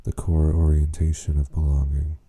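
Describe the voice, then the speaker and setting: A man leading a guided meditation, speaking in an unhurried, gently questioning way with long pauses. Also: very deep voice, completely flat delivery, breathy edge. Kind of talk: slow spoken guided meditation.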